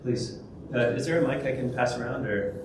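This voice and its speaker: A man's voice: mid-range voice, fairly quick. A man giving a conference talk and taking questions, hesitant, with many fillers and restarts. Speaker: conference speaker. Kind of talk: presentation and Q&A. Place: large event hall. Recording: echoey hall from a distance, noisy.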